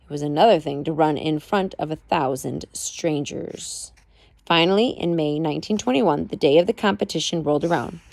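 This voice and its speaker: A woman reading a biography aloud at home in a measured storytelling way, with expressive emphasis. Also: deep voice, gravelly, no room sound. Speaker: parent reading aloud to child. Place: at home with a child nearby.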